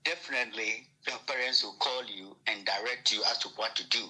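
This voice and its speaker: A man from Ghana, a guest answering over a remote call line, speaking slowly and deliberately, with stammered repeats. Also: medium pitch, sharp tone, slightly rough, low-quality recording.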